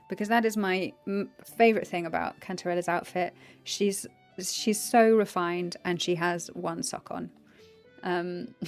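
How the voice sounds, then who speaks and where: A woman with a deep voice chats loosely on her livestream, drifting between thoughts with fillers and light laughter. Her pitch sits mid-range for a woman. Soft game music plays faintly behind her. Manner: chatty, laughs often, trails off with fillers. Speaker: streamer and voice actor. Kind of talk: casual livestream chat. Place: home room, indoors.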